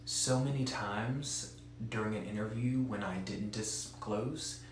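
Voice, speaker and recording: A man's voice: fairly low pitch, slow, heavy reverb, a little hiss or hum. A man who stutters gives an earnest, direct instructional talk as a presenter for an advocacy group.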